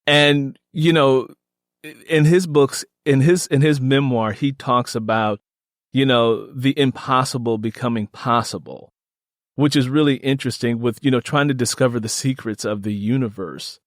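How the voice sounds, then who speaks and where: A man's voice: mid-range voice, fairly even intonation, moderate pace, no room sound, studio quality. A man hosting a podcast interview in an enthusiastic, conversational way, emphatic and given to repeating words for effect.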